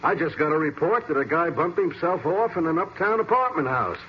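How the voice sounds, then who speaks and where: An actor playing an easygoing, somewhat hesitant American police sergeant in an old-time radio drama. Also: male voice, fairly high pitch, animated, a little fast, lightly acted. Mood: casual, friendly. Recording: muffled, hissy vintage radio recording.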